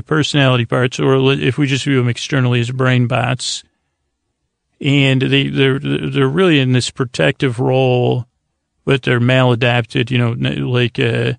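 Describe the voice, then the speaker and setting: A man hosting a sleep podcast in a meandering, halting monologue, gently reassuring and self-deprecating as he digresses and trails off. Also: mid-range voice, vocal fry, no echo. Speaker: podcast host.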